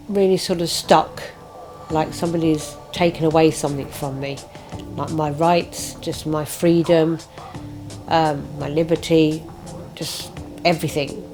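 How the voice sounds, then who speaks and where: A woman's voice: low-pitched, darkish tone. A woman giving unscripted interview testimony in a tentative, hedging manner. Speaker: interviewee, former detained patient.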